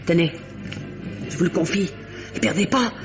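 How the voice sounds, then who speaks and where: An actress playing a garrulous, working-class Parisian theatre usherette in a 1950s-style French radio mystery, talking colloquially and groping for words. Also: female voice, fairly low pitch, moderate pace, close to an everyday voice. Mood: confiding. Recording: dull vintage radio recording with music.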